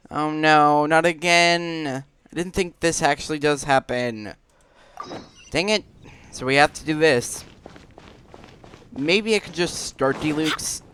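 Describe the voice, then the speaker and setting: A man's voice: fairly high pitch, fairly bright tone, a little hiss or hum. A man giving casual gameplay commentary, thinking aloud as he plays. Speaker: gaming streamer. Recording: game music behind the voice.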